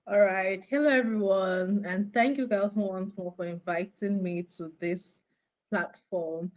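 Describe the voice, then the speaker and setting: A young woman from Cameroon, a graduate student, explaining things clearly and steadily as an interview guest over a call. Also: mid-range voice, steady tone, a little slow, lo-fi sound.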